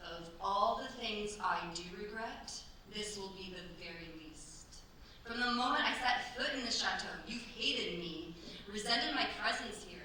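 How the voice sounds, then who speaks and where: Lady acting proud young chateau wife, bright theatrical voice, indignant, stage radio play, far from the mic. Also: medium pitch, expressive, a little slow. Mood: bitter, desperate. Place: cultural centre stage, live performance. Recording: echoey stage, distant mic, faint noise.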